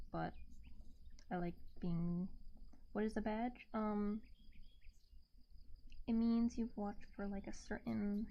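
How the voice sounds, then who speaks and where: Female ASMR streamer: soft, breathy, absent-minded chat; slightly muffled close mic with some background noise. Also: medium pitch. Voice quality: soft, gentle, often breathy near-whisper. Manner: unhurried, absent-minded, trailing off while drawing. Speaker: live streamer, artist. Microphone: close binaural ASMR microphone.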